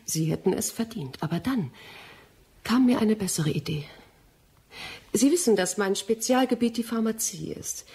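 Husky, scheming lady scientist. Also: mid-range voice, normal speed, long pauses, warm tone. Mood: calculating, confiding. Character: shrewd, scheming female pharmacy expert, coolly blackmailing. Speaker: radio drama actress. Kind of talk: German radio play acting.

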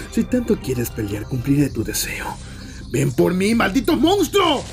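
A man's voice: medium pitch, very animated, slow, faint music behind. A man with a Latin American Spanish accent, in an anime fan dub, playing a brash, stubborn, weary warrior. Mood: defiant. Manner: brooding muttering that erupts into strained shouts.

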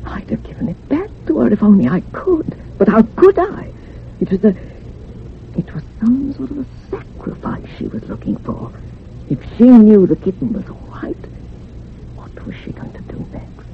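An actress playing a distraught, guilt-ridden woman in a radio ghost story, halting and anguished. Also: on the high side, very animated. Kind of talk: radio drama acting. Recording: tinny radio recording with faint hum.

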